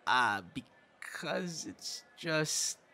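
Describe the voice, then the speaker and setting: A man playing a bashful young man with a secret hobby in a boyfriend audio roleplay, talking in a halting, flustered, conversational way. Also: on the high side, lively intonation, bright, forceful tone, full character voice. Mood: startled, flustered. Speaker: ASMR audio roleplay performer. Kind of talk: intimate boyfriend ASMR roleplay acting. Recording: clear background noise, ambience.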